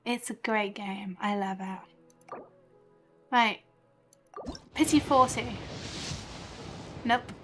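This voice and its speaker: A woman chatting casually on a game livestream, excitable and giggly, half talking to herself. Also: medium pitch, extremely slow, pauses often, faint music behind. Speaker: game streamer. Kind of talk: casual livestream chat while playing a video game.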